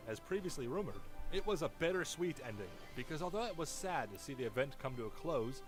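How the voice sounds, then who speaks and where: A man narrates from a script in an earnest, dramatic documentary style. His voice sits fairly high and moves in a very animated way, with clear music playing underneath. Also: rough recording. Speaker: narrator of an online video.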